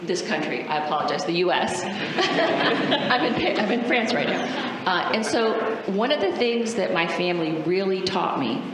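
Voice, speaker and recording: A Cuban-born woman, native in Spanish, speaks emphatically as a panelist, putting questions to her listeners. Her voice is a little low. The big hall adds heavy reverb and faint noise. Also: lively intonation, normal speed, hardly any pauses. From Cuba, moved to the United States. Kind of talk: personal story to an audience. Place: large auditorium stage. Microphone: handheld microphone.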